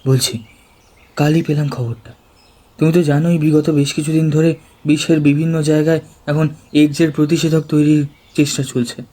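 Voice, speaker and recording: A man playing an earnest, excitable college student in an audio drama, hesitating and repeating words. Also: on the high side, normal speed, close to an everyday voice. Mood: urgent, hesitant. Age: young adult. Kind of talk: Bengali audio drama acting.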